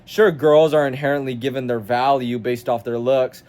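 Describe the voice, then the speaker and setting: A man's voice: mid-range voice, steady tone, normal speed, darkish tone. A nineteen-year-old man ranting to camera as a self-improvement vlogger, blunt, profane and confrontational with the viewer. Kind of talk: motivational rant to camera.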